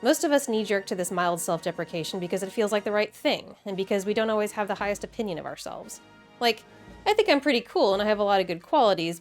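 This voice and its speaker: A woman with an American accent narrates a scripted video essay in a wry, conversational, emphatic way with casual asides. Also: medium pitch. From Midwestern United States.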